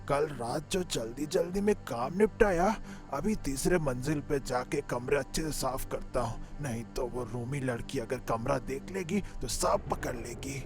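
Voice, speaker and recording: Scheming, furtive crooked servant for a cartoon man acting, mid-pitched, over prominent music. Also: unhurried, lightly acted. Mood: furtive, worried. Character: scheming male servant, secretly a thief and smuggler. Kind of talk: Hindi cartoon story voice acting.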